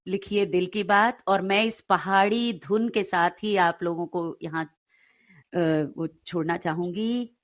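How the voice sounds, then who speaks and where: A woman with a medium-pitched voice co-hosts a casual audio show. She speaks slowly, with an appreciative, encouraging manner. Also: fairly even intonation. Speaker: co-host of an online audio story show. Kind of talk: casual live show hosting.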